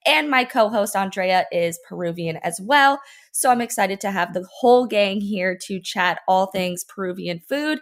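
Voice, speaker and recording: Bubbly woman co-host, mid-pitched, friendly podcast intros. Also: moderate pace. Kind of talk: podcast hosting.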